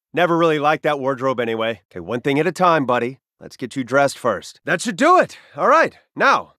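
A man's voice: medium pitch, fairly bright tone, no echo, studio quality. An actor voicing a cocky, wisecracking young male starfighter pilot in a video game, with urgent delivery and wry asides. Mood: wry, easygoing. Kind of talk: video game battle and mission barks.

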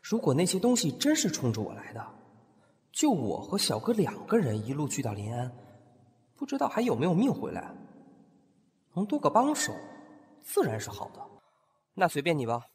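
An actor playing an earnest, kind-hearted young male scholar in an audio drama, with a gentle, earnest delivery. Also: on the high side, huge pitch swings, normal speed, pauses often, breathy edge, dry recording, studio quality, exaggerated voice. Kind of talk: amateur audio drama acting.

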